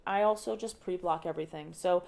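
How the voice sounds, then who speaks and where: A woman's voice: lowish voice, brisk, vocal fry, darkish tone. Young woman director, thinking aloud. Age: young adult. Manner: thoughtful, restarts often, laughs lightly. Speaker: opera director. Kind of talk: casual podcast interview.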